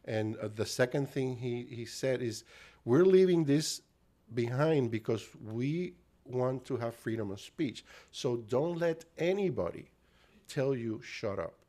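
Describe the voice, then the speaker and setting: A man's voice: mid-range voice, expressive, dark tone. A middle-aged man from Cuba with a Cuban and Puerto Rican accent, talking in a casual interview and stopping often to restart with fillers. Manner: halting, with frequent fillers and restarts. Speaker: guest.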